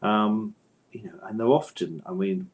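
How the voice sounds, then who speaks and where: A man's voice: on the low side, unhurried. A man chatting as an author guest in a literary interview, feeling his way through thoughts in a hesitant, digressive manner. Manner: hesitant, digressive, thoughtful phrasing with repeated tag questions. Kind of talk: casual literary interview chat.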